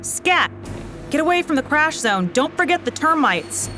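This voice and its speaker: A young female officer in a sci-fi game. Her pitch is a bit high, with light music underneath. She is no-nonsense, direct and businesslike. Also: sing-song, normal speed, bright, forceful tone, heavily acted. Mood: urgent. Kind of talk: video game cutscene acting.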